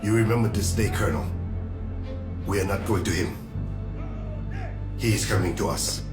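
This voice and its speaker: An actor playing a proud, cold, scheming army general in a period film, speaking in an imperious, deliberate way. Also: male voice, lowish voice, lightly acted. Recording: echoey room, music underneath.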